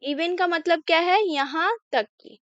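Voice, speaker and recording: A woman's voice: very high voice, unhurried, a bit bright, no room sound, highs cut off. A young Indian woman teaching English step by step, patiently asking questions and then answering them herself. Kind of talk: slow line-by-line English lesson explained in Hindi.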